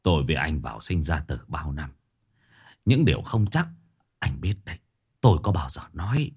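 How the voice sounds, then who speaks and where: A man voicing a stern older male exorcist master who gives slow, firm commands. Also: a bass voice, expressive, a little mellow, slightly rough, voice pushed down, full character voice. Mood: confiding.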